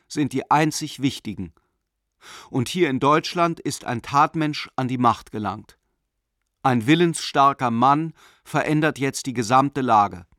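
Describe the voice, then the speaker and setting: A man's voice: mid-range voice, a bit bright, dry recording, studio quality, close to an everyday voice. An actor playing a zealous, cold nationalist man reading his letter in a radio drama, emphatic and scornful.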